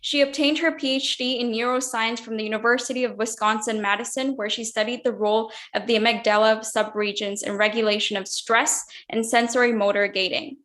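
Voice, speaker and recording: A woman's voice: on the high side, fairly even intonation, fairly quick, few pauses, a bit bright. A woman hosting an interview show, reading an introduction and asking questions in a gracious, upbeat way. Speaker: host.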